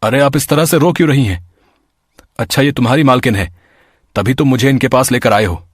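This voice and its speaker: A man's voice: mid-range voice, a little fast, slightly rough, no room sound, clean studio sound, close to an everyday voice. A man voicing a kind young passerby in a story, with an eager, helpful manner. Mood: concerned, reassuring. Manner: exclaiming, helpful.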